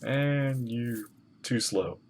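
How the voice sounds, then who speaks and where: A woman's voice: extremely low pitch, very slow, background noise. A woman giving casual, playful live reactions to a game, interjecting as it plays.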